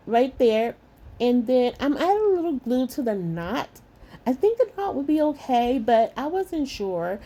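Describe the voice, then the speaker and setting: A middle-aged woman hosting a craft channel, narrating a tutorial in a chatty way with a slightly hoarse voice and calling her viewers lovies. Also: fairly high pitch. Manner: warm, chatty, calls viewers lovies and y'all.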